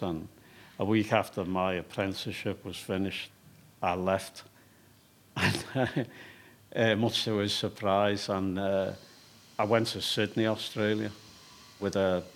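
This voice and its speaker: A man's voice: lowish voice, a bit bright. A man in his sixties with a Liverpool accent, a stone carver telling his story in an interview, hesitant with frequent fillers. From England. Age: 60s. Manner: hesitant, with many fillers and restarts.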